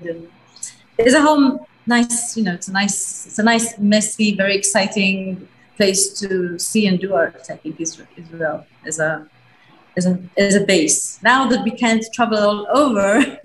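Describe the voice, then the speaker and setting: A woman in her fifties, a native Hebrew speaker, talking as an artist panelist on a video call, halting and restarting as she searches for words. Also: medium pitch, poor audio. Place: bright home studio room.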